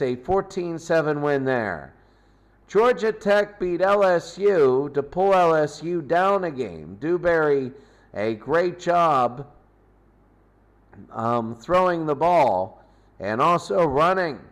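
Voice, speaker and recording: Slow-talking man recapping sports scores, clear voice. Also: fairly high pitch. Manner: repeats scores, speaks in short fragments. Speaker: sports show host. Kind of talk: casual unscripted sports recap commentary.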